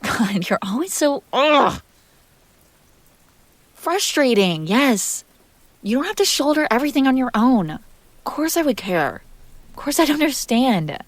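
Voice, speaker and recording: A woman playing a jealous, possessive and fiercely protective young woman in an intimate roleplay audio drama, speaking close to the mic in emphatic, halting bursts. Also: sits high, big pitch swings, no room sound, full character voice. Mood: frustrated, upset. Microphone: close microphone, dry sound.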